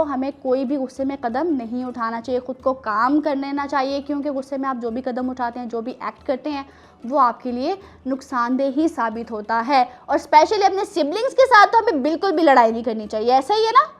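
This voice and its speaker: A woman's voice: high voice, a bit bright. A woman hosting a children's show, telling stories and chatting with a teacherly, teasing manner and plenty of questions. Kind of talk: storytelling and playful chat with children.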